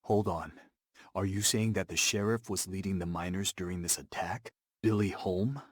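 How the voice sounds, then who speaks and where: An actor playing an earnest, principled Lakota man in a video game, with a measured, firm and serious manner. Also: deep voice, dry recording, clean studio sound. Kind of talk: scripted game cutscene acting and narration.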